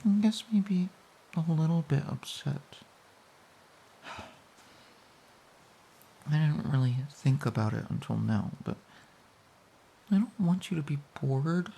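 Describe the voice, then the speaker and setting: Woman acting a low, breathy, affectionate girlfriend. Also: expressive, takes it slowly, pauses often, full character voice. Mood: shyly insecure. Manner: soft, giggly, hesitant, cooing. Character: playful, pouty, affectionate girlfriend snuggling in bed. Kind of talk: intimate romantic audio roleplay.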